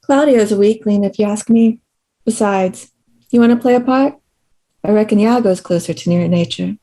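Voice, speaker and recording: A woman's voice: medium pitch, normal speed, mellow, close to an everyday voice. A woman playing a scrappy, defiant rustic tomboy daughter at a screenplay table read, with blunt, folksy rural speech. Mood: sardonic.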